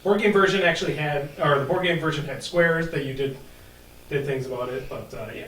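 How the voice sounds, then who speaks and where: A man's voice: on the high side, brisk, a bit bright. A man chatting casually from off to the side of a podcast, in a small room. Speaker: off-camera participant. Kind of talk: casual chat. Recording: echoey, off to the side.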